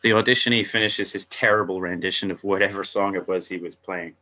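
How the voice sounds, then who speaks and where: A man reads out stage directions as narrator of a script read-through. His voice is fairly low yet forceful and bright, captured in slightly dull, lo-fi sound. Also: fairly even intonation, fast.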